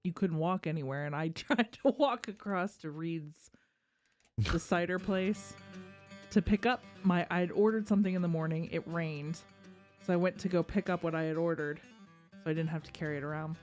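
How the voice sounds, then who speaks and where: Woman with a lowish voice, wry casual podcast talk, faint guitar music behind. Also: normal speed, darkish tone. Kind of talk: casual podcast chat, telling small anecdotes.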